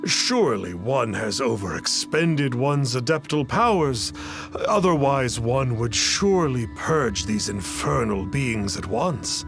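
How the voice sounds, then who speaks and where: Casting: blustering sham mystic, slow, grand, gravelly, swoops a lot. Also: male voice, medium pitch, expressive. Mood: flustered. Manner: grandiose archaic speech, calls himself "one". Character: boastful fake exorcist man, pompous and cowardly. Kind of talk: video game cutscene voice acting. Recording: game music behind.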